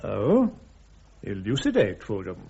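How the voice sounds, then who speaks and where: An actor with a British accent playing a wry senior army lawyer in a radio drama, dry, teasing and authoritative. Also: male voice, fairly low pitch, huge pitch swings, unhurried, creaky, dark tone, slightly rough, exaggerated voice. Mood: dry, amused. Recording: dull, lo-fi radio drama recording.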